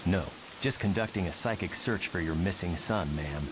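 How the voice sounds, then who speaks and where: A learned, polite mutant scientist acted by a man in a motion comic. He speaks in a deep voice, with a rain-like hiss clearly behind him. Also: moderate pace, natural voice. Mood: courteous.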